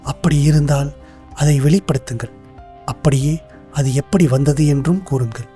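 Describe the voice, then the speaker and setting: A man voicing a dark-toned formal royal minister. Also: fairly high pitch, moderate pace, faint music behind, natural voice. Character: wise cautious male royal minister. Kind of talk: cartoon voice acting.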